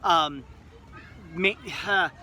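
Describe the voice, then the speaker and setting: A man's voice: on the high side, very slow, bright, forceful tone. A man in his late thirties hosting a livestream outdoors, chatting casually and rambling as he reads viewer comments with self-mocking humor. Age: 30s. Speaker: livestream host. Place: outdoors at a resort.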